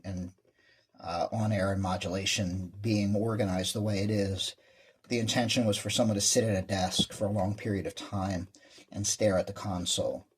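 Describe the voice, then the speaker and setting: A man's voice: lowish voice, darkish tone, husky. A man presenting a hobby vlog in casual, rambling show-and-tell talk, with an enthusiastic manner. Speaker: amateur radio hobbyist presenter.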